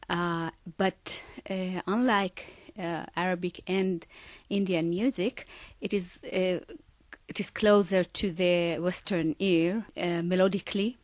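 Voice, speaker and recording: Iran-born woman, unhurried, hesitant phrasing, slightly low, dull dry recording. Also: steady tone, no room sound. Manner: halting, searching for words with many fillers. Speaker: singer guest. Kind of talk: interview.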